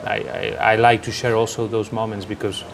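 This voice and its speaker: Earnest coach. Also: male voice, lowish voice, flat delivery, brisk, creaky. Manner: earnest, slightly broken English with repeated restarts. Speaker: national team assistant coach, guest. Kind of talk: interview answers. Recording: background noise from air conditioning.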